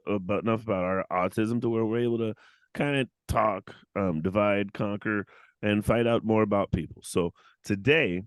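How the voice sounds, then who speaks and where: A man's voice: on the low side, a bit bright, no room sound. A man hosting a casual podcast, joking around, teasing his guest with crude insults and laughing often. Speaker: podcast host.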